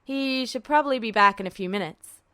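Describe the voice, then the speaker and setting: A woman's voice: fairly high pitch, fairly quick, sharp tone. A woman voicing a tall, cheerful soldier who teases and jokes in a storybook reading. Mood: teasing. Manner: teasing, stage-whispering.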